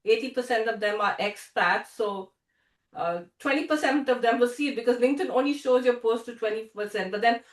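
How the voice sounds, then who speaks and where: A woman's voice: fairly high pitch, a little fast. Chatty woman hosting a video call. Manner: chatty, laughs often, restarts sentences. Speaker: host and founder. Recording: echoey room, distant from mic.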